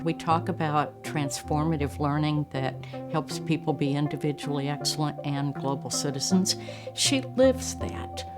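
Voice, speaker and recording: Low-pitched woman over guitar music. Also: a little slow. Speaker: school leader. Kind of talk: spontaneous interview talk.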